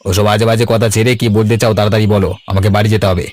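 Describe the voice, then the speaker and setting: A man playing a blunt, stubborn grown son and village uncle in a cartoon, talking briskly and curtly. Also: fairly low pitch, steady tone, a little mellow, lightly acted. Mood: angry, defiant. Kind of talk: Bengali cartoon voice acting. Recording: music under the voice.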